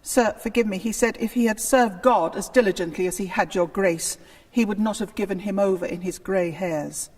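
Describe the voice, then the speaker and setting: A woman's voice: mid-range voice, moderate pace, darkish tone, natural voice. A woman voicing a grieving, deferential servant in a hall. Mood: uneasy. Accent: British. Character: devoted, grieving gentleman usher, deferential and uneasy. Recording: reverberant large hall.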